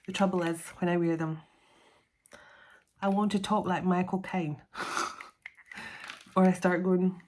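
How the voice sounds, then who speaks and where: A woman's voice: fairly low pitch, flat delivery, unhurried, long pauses, a little mellow, slight background noise. A middle-aged woman doing a solo tarot reading to camera, chatty and self-deprecating, laughing often between cards. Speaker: tarot reader.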